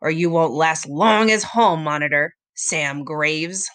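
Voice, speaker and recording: A woman's voice: low-pitched, takes it slowly, fairly bright tone, voice pushed down. A woman voicing a stern, cryptic old male school caretaker in a storybook, growling in warning. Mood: ominous.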